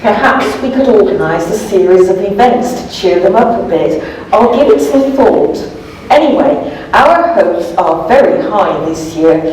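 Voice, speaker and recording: A woman acts out an elderly male scholar of a librarian for a storybook, eager and gleefully earnest, with quaintly formal phrasing. The delivery is unhurried, heard from a distance in a very echoey space. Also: sits high, expressive, a little mellow, a little hiss or hum. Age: older. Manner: earnest, gleeful, old-fashioned formal speech. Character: beaming, eager, eccentric old male librarian doctor.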